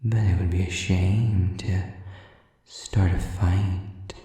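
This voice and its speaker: A man playing a sadistic, predatory demon in an intimate audio roleplay, taunting with mock politeness and breathy, drawn-out menace. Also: low-pitched, steady tone, dark tone, heavy reverb, recorded from a distance. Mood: mock-friendly menace. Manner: slow, drawn-out, mockingly polite, breathy whispers.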